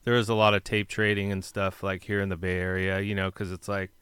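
A man's voice: low-pitched, little pitch movement, fairly quick, fairly bright tone, clear, resonant tone, no echo, studio quality. A man interviewing a guest in casual chat, asking questions loaded with likes and you knows. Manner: lots of likes and you knows, eager prompts.

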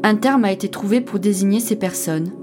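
Woman, mid pitch, scripted documentary narration, clear explanatory delivery, music behind. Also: a little fast.

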